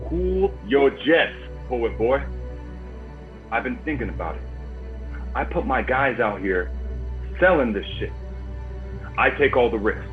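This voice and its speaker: A man's voice: medium pitch, expressive, a little slow, long pauses, close to an everyday voice. A man acting a menacing, cocky drug dealer boss in a screenplay table read, taunting and foul-mouthed. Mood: smug, taunting. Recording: muffled phone-like line, music behind.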